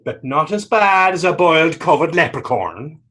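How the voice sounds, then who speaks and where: A man playing a battered, pious and self-mocking fisherman in readers theater, telling his story in halting, folksy dialect. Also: on the high side, expressive, pushed and bright, husky, full character voice. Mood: wry. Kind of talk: readers theater dramatic dialogue.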